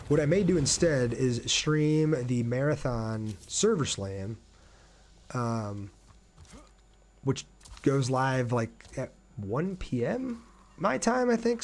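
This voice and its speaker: A man's voice: mid-range voice, a little mellow. A man with an American accent talks casually and conversationally to viewers while livestreaming a video game. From Ohio, United States. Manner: conversational, addresses viewers directly. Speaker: live streamer playing a video game. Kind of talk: casual gameplay livestream chat.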